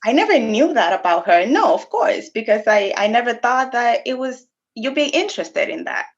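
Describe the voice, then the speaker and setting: Animated woman chatting, slightly muffled. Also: on the high side, normal speed. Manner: animated, laughs often, code-switches briefly. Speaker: podcast co-host. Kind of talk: casual conversation.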